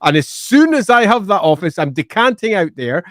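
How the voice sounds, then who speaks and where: A man's voice: sits high, big pitch swings, moderate pace, no room sound, studio quality. A middle-aged man co-hosting a casual live stream, playful and theatrical, chatting and laughing easily. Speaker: co-host.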